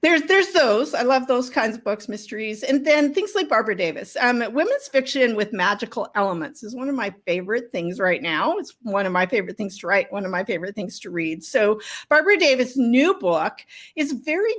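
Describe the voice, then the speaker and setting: A woman's voice: fairly high pitch, lively intonation, brisk. A middle-aged woman talking casually and enthusiastically as a guest author in an interview. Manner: effusive, enthusiastic. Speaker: guest novelist and writing coach.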